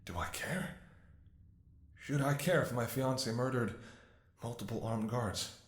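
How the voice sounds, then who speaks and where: A man's voice: mid-range voice, unhurried, slightly rough, no echo. A man playing a devoted, anxious doctor talking to a lover in an intimate ASMR audio roleplay, with a hesitant, emotionally raw delivery. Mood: wary, hushed.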